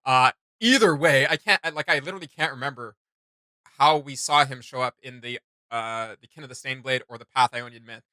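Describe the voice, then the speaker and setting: A man's voice: medium pitch, a little fast, sharp tone, no room sound. A man chatting casually and enthusiastically as a reaction host, rambling and slangy. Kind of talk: casual enthusiastic fan chat.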